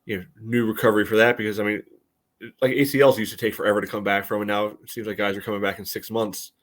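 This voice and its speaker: A man's voice: on the low side, level tone, quick pace, a bit bright. A man co-hosting a sports podcast, giving casual analysis in a hesitant, loosely phrased way full of "you know".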